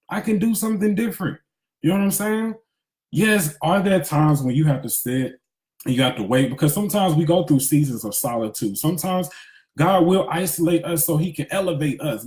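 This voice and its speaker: A man in his thirties giving a casual, emphatic spiritual pep talk in candid slangy speech, repeating phrases for emphasis. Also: a little high. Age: 30s. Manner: conversational street-smart slang, candid. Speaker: motivational speaker. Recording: echoey, roomy sound.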